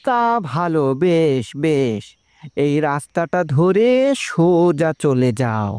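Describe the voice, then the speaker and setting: A man's voice: fairly high pitch, lively intonation, slow, darkish tone, clean tone, no room sound. A man voicing a kindly older village uncle in a cartoon, inquisitive and paternal toward a child. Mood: curious. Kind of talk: cartoon story dialogue.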